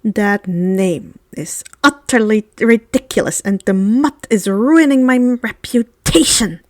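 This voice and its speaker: A woman's voice: on the high side, slow, a little mellow, dry recording, voice pushed down. A woman voicing a sneering, sardonic male teacher in a storybook, with a dry, disdainful and formal manner.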